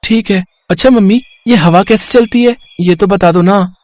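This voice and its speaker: A woman's voice: medium pitch, moderate pace, a little mellow, dry recording, natural voice. A woman voicing a curious, naughty five-year-old boy in a moral story. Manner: childlike, eager, pestering.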